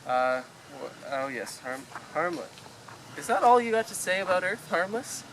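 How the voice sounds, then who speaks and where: Man playing a high, animated, bewildered Earthman. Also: exaggerated voice, rough recording. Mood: indignant. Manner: fussy, querulous, halting. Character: bewildered, exasperated everyman Earthling traveller. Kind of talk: amateur radio play comedy acting. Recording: clear background noise.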